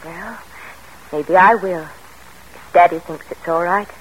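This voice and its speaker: An actress playing a gentle young mother soothing a child in old-time radio drama, tender and troubled. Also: fairly low pitch, slow, a little hiss or hum, poor audio. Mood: tender, worried. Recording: vintage radio recording with music.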